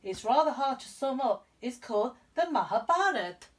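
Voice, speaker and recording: A woman's voice: sits high, expressive, normal speed, distant mic. A woman voicing an old male poet, courteous, earnest and emphatic, in a children's storybook reading. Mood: measured.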